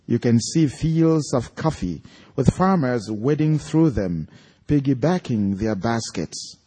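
A man's voice: mid-range voice, lively intonation, takes it slowly, mellow, husky. A man with a Nigerian accent, an author speaking and reading aloud to an audience at a live event. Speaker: author reading from his own story at an event.

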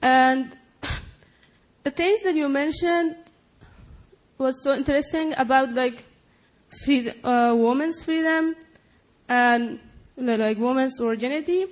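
A woman's voice: high voice, slow, pauses often. A young woman from Afghanistan, not a native English speaker, asking a question politely and hesitantly. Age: young adult. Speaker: audience member asking a question. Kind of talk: audience question.

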